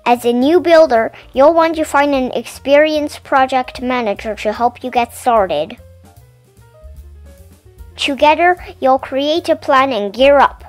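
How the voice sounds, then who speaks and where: A woman hosting her own channel, reading a children's picture book aloud with gentle, clear enunciation and playful emphasis. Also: high voice, measured pace, no room sound, soft background music. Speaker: storybook reader and channel host.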